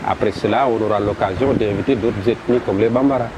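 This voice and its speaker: A man with a Senegalese French accent, a festival promoter describing his event in an interview, halting and repeating words as he goes. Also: lowish voice. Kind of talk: interview answers. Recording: noisy background.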